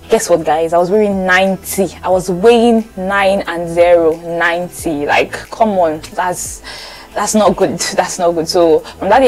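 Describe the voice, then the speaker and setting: A young Nigerian woman talks casually to her channel's viewers in a slightly low voice. She repeats phrases for emphasis as she shares things about herself. Faint music plays along with her. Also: darkish tone, poor audio. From Lagos, Nigeria. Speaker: vlogger.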